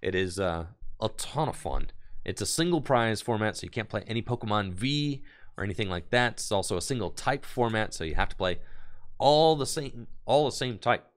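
A man opening card packs on a live stream, reading card text aloud and hyping his pulls for chat, his voice going hoarse. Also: fairly low pitch, expressive, moderate pace. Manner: enthusiastic, hype-building, reads card text aloud. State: losing his voice.

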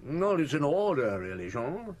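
A man playing a shrewd, unhurried French police chief inspector in a radio drama, musing and wry. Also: on the high side, very animated, darkish tone. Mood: probing, wry. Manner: musing, deliberate, wry, hesitant pauses. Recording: old radio broadcast.

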